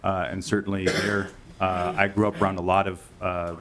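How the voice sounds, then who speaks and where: A man from Minnesota, an agriculture official, testifying formally to a committee in a halting way. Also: deep voice, level tone, a crowd in the background. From Stearns County, Minnesota. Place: legislative committee hearing room. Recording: clear background room noise.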